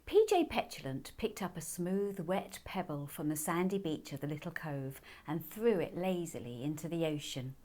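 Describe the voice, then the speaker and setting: Woman reading a kids book aloud, lowish voice, loads of expression. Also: lively intonation, normal speed, few pauses, warm tone. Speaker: author reading own children's book. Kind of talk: expressive scripted storybook narration.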